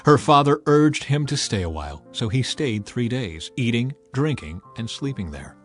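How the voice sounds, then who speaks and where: A man narrating a dramatized scripture audiobook with measured, dramatic storytelling and emphatic swells. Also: medium pitch, sing-song. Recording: music bed under the voice.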